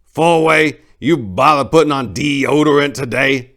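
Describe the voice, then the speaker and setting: A man's voice: medium pitch, unhurried, fairly bright tone, pitched above the performer's own voice. Man acting out mean, barking old gangster. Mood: barking, scornful. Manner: belligerent, barking, insulting. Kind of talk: dramatic short story reading.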